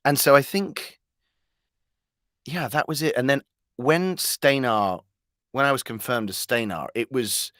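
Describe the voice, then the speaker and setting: A British man giving a conversational interview as a voice actor, telling anecdotes in an animated, halting way with self-corrections. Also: mid-range voice, no echo, studio quality.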